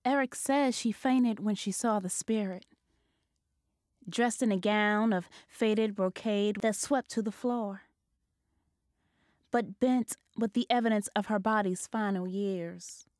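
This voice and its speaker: Lady narrating a ghost story, slow literary read, mid pitch, really dry sound. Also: a little mellow, no echo.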